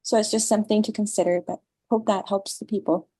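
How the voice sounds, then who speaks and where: A woman on a panel, a doctoral student, explaining in a measured way. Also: mid-range voice, fairly even intonation, dark tone, breathy edge, dry recording. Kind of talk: answering a question.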